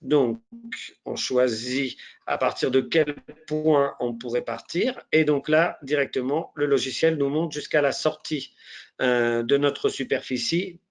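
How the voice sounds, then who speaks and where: A man with a head cold giving a live online software demonstration, talking in a hesitant way with many fillers and restarts. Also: mid-range voice, a little slow. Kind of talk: live software demo walkthrough.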